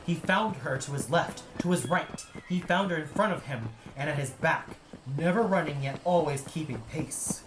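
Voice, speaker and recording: A man's voice: fairly high pitch, lively intonation, moderate pace, few pauses. A man narrating an audio play as a dramatic, scripted storyteller. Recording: echoey, with noise behind. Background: ambient sound effects.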